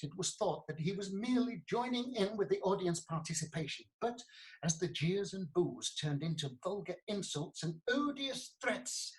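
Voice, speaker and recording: A woman's voice: lowish voice, natural voice, poor audio. Woman acting an earnest, theatrical prosecutor. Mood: formal. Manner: formal, storytelling, deferential. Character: earnest, theatrical prosecuting lawyer recounting a case. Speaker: prosecuting lawyer. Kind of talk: comic courtroom radio play acting.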